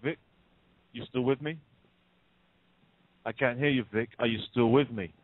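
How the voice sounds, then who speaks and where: A man's voice: mid-range voice, steady tone, measured pace, lightly acted. A man playing a smug, menacing bank robber in an audio play, speaking with taunting, mock-polite deliberation. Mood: cold, menacing. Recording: muffled narrow phone-like sound.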